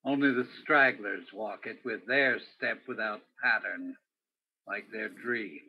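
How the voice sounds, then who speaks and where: A man playing a brooding, world-weary Broadway police detective in a radio play, with a measured, pensive, dramatic delivery. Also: fairly low pitch, husky. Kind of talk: radio play acting with poetic noir narration. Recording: dull, muffled lo-fi audio.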